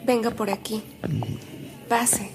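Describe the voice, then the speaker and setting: A woman's voice: fairly high pitch, flat delivery, takes it slowly, music in the background. An actress with a Mexican accent playing a courteous, caring woman who speaks politely and hospitably in a radio drama. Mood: gentle, hospitable. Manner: polite, soft-mannered, hospitable. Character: Lola, courteous, caring sister of a bishop. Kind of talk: Spanish-language radio drama acting.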